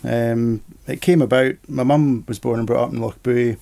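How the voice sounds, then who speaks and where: Gravelly easygoing man. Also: mid-range voice, steady tone, normal speed, dark tone. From Scotland. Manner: easygoing, rambling storyteller. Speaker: interview guest. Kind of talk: casual conversation.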